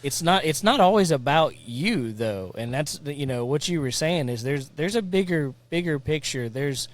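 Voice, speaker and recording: Encouraging podcast host, rambling. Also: male voice, on the high side, animated, normal speed. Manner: encouraging, rambling, restarts often. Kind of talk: casual conversation.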